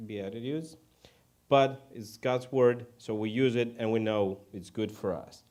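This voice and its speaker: A man from Ukraine with a Ukrainian accent preaches informally in English as a second language, halting and self-deprecating. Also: medium pitch, steady tone, darkish tone. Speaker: guest preacher. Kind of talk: informal sermon.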